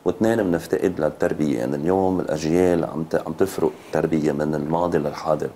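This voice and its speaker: A Lebanese man in his fifties speaks as an interview guest in a deep, soft-edged voice. He talks very quickly and insistently, often repeating phrases. There is some noise behind him. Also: fairly even intonation. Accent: Lebanese Arabic. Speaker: road safety advocate, TV guest. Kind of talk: studio interview. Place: TV studio. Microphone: lapel mic.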